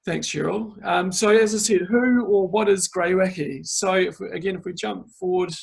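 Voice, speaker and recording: A man with a New Zealand accent, a winemaker presenting an online tasting over a video call and talking in a relaxed way, full of fillers. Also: high voice, moderate pace, creaky, slightly rough.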